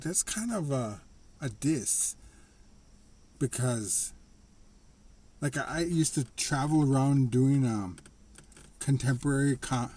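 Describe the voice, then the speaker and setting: A man talking casually to the camera in a slightly raspy voice, rambling and restarting his sentences. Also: mid-range voice, warm tone. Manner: rambling, halting, with frequent restarts and laughs. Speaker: vlogger. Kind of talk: casual personal monologue.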